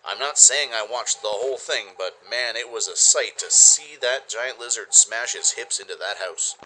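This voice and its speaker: A man's voice: medium pitch, moderate pace, sharp tone, slight background noise, rough recording. A man playing a wry wasteland radio newsman, delivering a mock-serious, gossipy bulletin in an audio drama. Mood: wry, amused.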